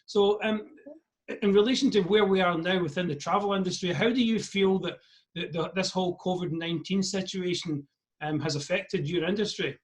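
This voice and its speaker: A man's voice: sits high, normal speed. A Scottish man from Scotland interviewing a guest over a video call, asking friendly, rambling questions. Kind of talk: remote interview.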